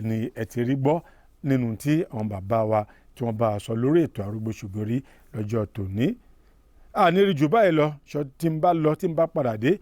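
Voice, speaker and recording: A man's voice: mid-range voice, dark tone, no echo. A man hosting a TV program, quoting proverbs and speaking directly to elders watching. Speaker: TV program host. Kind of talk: broadcast presenting.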